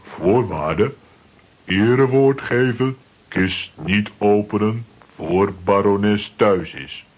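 A cartoon strongman for a children's radio play. He is gruff and sure of himself, speaking very low and slowly in blunt, clipped phrases with a husky grain. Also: highs cut off. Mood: firm, challenging. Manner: clipped telegram-style sentences without articles.

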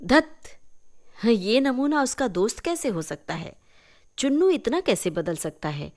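A woman voicing a curious, mocking young woman in a story, expressive and wry. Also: a little high, a little slow, pitched above the performer's own voice. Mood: amused scorn.

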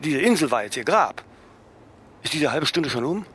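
A cool, self-absorbed man in a radio play. His voice sits slightly high, and he muses haltingly, adding questioning tags. There is faint noise behind him. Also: very animated, a bit bright. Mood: matter-of-fact. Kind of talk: radio drama acting.

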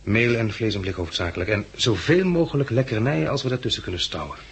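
An actor in a 1960s Dutch radio drama playing a practical, grimly realistic male survivor, matter-of-fact and thinking aloud with hesitant pauses. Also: lowish voice, lively intonation, fairly bright tone, husky, heavily acted. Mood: businesslike. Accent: Dutch, from the Netherlands.

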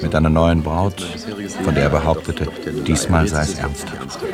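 A man's voice: very deep voice, steady tone, normal speed, over loud music, over a noisy crowd. A man reading scripted literary narration as the narrator of a radio play.

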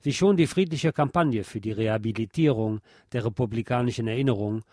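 A man narrates from a written text in a formal, literary register. His voice sits at a middle pitch and his delivery is measured. The recording is dry, with no room sound. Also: dark tone, no echo. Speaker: narrator reading a history text. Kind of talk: scripted narration, reading aloud.

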